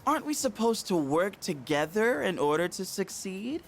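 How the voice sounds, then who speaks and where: An actor voicing an eager, cheeky teenage schoolboy in a video game, excitable and glib. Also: male voice, high voice, moderate pace, gravelly, faint music behind, exaggerated voice. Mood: goading, excitable. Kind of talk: English video game voice acting.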